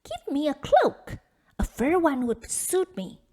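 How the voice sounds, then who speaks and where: Woman as a loud, spoiled storybook brat. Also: high voice, huge pitch swings, slow pace, voice pushed up, heavily acted. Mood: snappy, demanding. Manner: snappy, loud, demanding. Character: spoiled, rude, greedy young girl in folktale.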